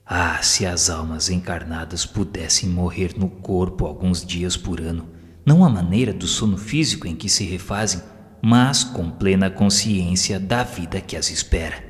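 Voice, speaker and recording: A man's voice: low voice, moderate pace. A man with a Brazilian accent narrates a scripted audio novel in a solemn, formal literary manner. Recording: long reverb over music. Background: music.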